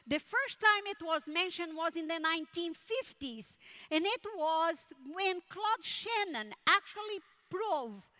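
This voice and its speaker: A woman with a Brazilian Portuguese accent delivers a keynote address in a high, bright voice. She speaks slowly and deliberately. Her phrasing is measured, with dramatic pauses. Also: lively intonation. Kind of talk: conference keynote speech.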